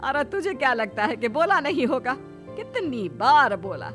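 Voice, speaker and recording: A woman's voice: sits high, very animated, moderate pace, over music. A woman voicing a chiding, dramatic elderly mother-in-law in a family comedy story. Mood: plaintive. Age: older.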